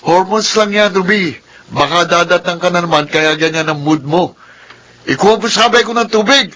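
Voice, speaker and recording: High, bratty Filipino teenage boy. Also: moderate pace, sharp tone. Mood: annoyed, dismissive. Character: bratty, dismissive teenage boy gamer, twin brother. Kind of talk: Filipino radio drama acting.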